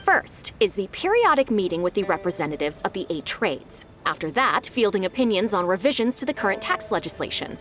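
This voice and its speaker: A lively, diligent young secretary. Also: female voice, medium pitch, slight background noise. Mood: brisk, earnest. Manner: brisk, businesslike, polite, explaining methodically. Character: diligent, eager young female secretary to a wealthy lady. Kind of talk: video game voice acting.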